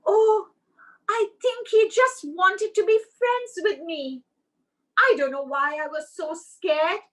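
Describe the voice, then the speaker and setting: A woman's voice: extremely high pitch, takes it slowly, fairly bright tone, distant mic, voice pushed up, full character voice. A woman voicing a small, timid young male squirrel in a children's story, with a shaky, tearful way of pleading. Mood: relieved.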